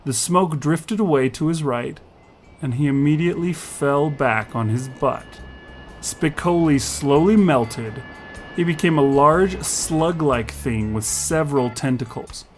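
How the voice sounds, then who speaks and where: A man narrating scripted fiction as the storyteller of an audio tale. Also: mid-range voice.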